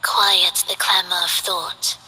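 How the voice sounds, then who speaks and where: A woman voicing a serene, mysterious young female performer in a game, speaking slowly and poetically. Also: on the low side, flat delivery, sharp tone, husky, exaggerated voice, low-quality recording. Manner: slow, soothing and poetic. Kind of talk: game voice lines.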